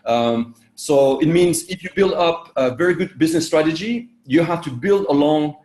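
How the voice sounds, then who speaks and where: Halting man with many fillers, echoey room. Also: a little high, darkish tone. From Vietnam. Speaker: executive coach. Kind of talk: interview answers.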